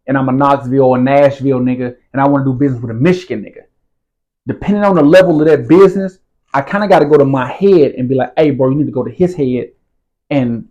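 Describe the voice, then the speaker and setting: A man's voice: mid-range voice, a little mellow, lo-fi sound. A man, telling his story as an interview guest in casual street slang and often asking whether the listener follows. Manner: streetwise slang, repeats "you feel what I'm saying".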